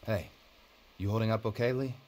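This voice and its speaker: An actor playing an easygoing, conciliatory ex-military survivor in a zombie video game. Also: male voice, fairly low pitch, brisk, darkish tone, lightly acted. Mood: tired, sympathetic. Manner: conciliatory, reasonable. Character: easygoing, weakened male ex-military survivor, reasonable peacemaker.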